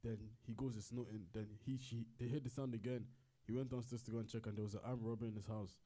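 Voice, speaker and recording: A man's voice: mid-range voice, fairly even intonation, quick pace, warm tone. A man casually retelling a story in a rambling, repetitive way and correcting himself as he goes. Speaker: co-host.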